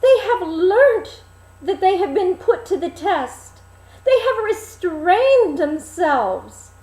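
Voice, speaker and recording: A woman's voice: very high voice, very animated, takes it slowly, darkish tone, voice pushed up, full character voice. A woman voicing a suspicious, scheming male lion in a storybook, emphatic and insistent, in a roomy space. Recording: roomy, echoing room.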